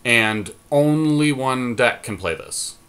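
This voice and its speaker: A man's voice: mid-range voice, animated, slow pace, a bit bright, clean tone. A man co-hosting a podcast, casually commentating on a card game draft and explaining his choices as he thinks aloud. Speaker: podcast co-host.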